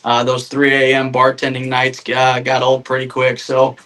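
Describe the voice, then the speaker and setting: A man's voice: medium pitch, completely flat delivery, moderate pace, fairly bright tone. A man in his thirties from Northern Indiana, a podcast guest talking casually and self-deprecatingly, with many fillers and restarts. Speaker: guest, RV sales manager.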